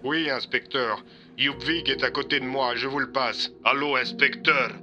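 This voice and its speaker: A man's voice: mid-range voice, steady tone, moderate pace, bright, forceful tone, highs cut off, soft background music. A man playing a dutiful male police officer reporting to his superior in an audio drama. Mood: dutiful, serious.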